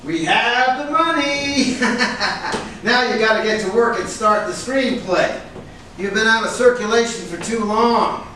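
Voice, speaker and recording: Man playing a high-voiced, pushy film agent, echoey stage. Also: unhurried, bright, forceful tone. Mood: excited, urgent. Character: brash, pushy male film agent, excitable and scolding. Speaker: actor in a staged reading. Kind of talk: theatrical staged reading. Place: indoor room at a live staged reading. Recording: echoey room, distant mic, background hiss.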